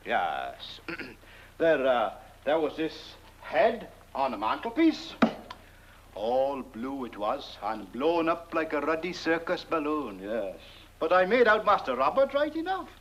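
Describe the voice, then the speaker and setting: A man's voice: fairly high pitch, huge pitch swings, slow pace, a bit bright, heavily acted. A man playing a bumbling, deferential British village constable, hesitant, rambling and chatty, in comic horror film acting. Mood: fussy, apologetic. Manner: hesitant, rambling, throat-clearing, polite. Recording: dull old film soundtrack, faint hiss.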